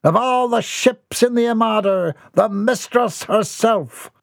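A high-pitched eccentric dreamer rants in lofty, flowery phrases, as a man puts him on. His voice climbs well above a normal speaking range and lands dry and close, with no room around it. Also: measured pace, vocal fry, no room sound. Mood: grandiose ranting. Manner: grandiose, flowery ranting. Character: eccentric rambling nephew lost in sea fantasies.

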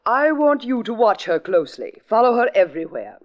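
Grand, animated society matron. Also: female voice, fairly high pitch, fairly quick. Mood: grand, concerned. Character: haughty, anxious society matron client. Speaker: radio drama actor. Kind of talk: 1940s radio drama acting. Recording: muffled vintage radio broadcast.

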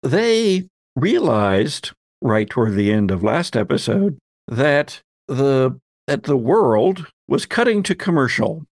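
A man's voice: mid-range voice, sing-song, slow, no room sound, studio quality. A man hosting as game master, narrating a tabletop roleplay story in a theatrical, folksy storyteller's way. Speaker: podcast host and game master.

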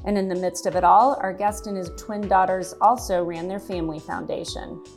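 A woman's voice: medium pitch, steady tone, fairly quick, soft background music. A woman co-hosting a podcast, reading polished, upbeat introductions and asking interview questions. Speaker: podcast co-host.

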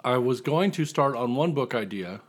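A man's voice: medium pitch, animated, moderate pace. Man telling wry stories in casual conversation. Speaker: guest author on a podcast. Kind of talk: casual interview storytelling.

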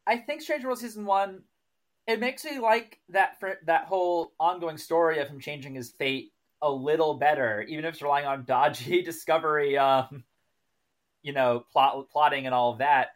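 A man's voice: a little high, moderate pace. Thoughtful, self-correcting male podcast co-host. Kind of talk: casual analytical discussion.

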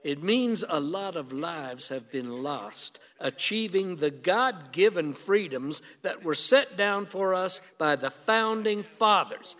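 A stubborn, paranoid old gun-owning husband in a radio play. His voice sits fairly high for a man and rings in a reverberant hall. He grumbles and declares his principles with emphatic conviction. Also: animated, takes it slowly, hardly any pauses, a bit bright, full character voice. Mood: agitated, preachy.

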